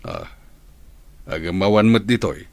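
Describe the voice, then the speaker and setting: Gravelly, low-voiced old family patriarch played by a man. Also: wildly swooping pitch, measured pace, no room sound, full character voice. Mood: wistful. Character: lonely, wistful, stubborn elderly father and household master. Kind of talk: Ilocano radio comedy-drama acting.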